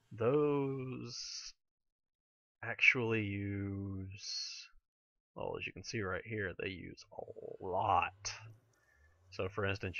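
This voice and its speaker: A man with a slightly hoarse, raspy voice chatting to himself while playing through a modded game. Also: lowish voice, a bit bright, dry recording, muffled recording. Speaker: let's play gamer. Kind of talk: casual gameplay commentary.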